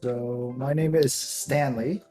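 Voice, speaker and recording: A man's voice: mid-range voice, slow pace, faint music behind. Chatty young man in a roomy room. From Taiwan. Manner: relaxed, rambling with many ums and likes. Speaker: podcast co-host. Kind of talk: casual chat.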